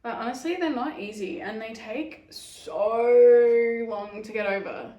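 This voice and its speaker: Aussie girl in her twenties, chatty and giggly, kinda high voice, echoey room. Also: a little slow, mellow. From Australia. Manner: chatty, giggly, lots of "like" fillers. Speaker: YouTuber answering fan questions. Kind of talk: casual Q&A chat.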